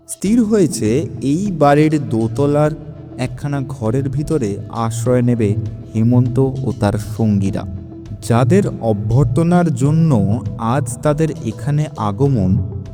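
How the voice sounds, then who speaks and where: A man narrating a scripted story in a measured, literary manner. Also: medium pitch, mellow. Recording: long reverb, music behind.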